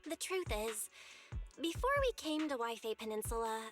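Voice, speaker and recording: A young game heroine with a high, expressive voice. She speaks earnestly and directly in an anime style. Faint music sits behind her. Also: normal speed. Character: earnest young female proxy, a secret hollow guide.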